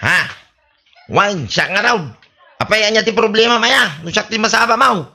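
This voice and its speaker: An actor with an Ilocano accent playing a boastful, wheedling drunk in a radio comedy, slurring and rambling. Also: male voice, sits high, moderate pace, sharp tone, heavily acted. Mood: boastful, belligerent. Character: boastful, wheedling drunken village man buying on credit.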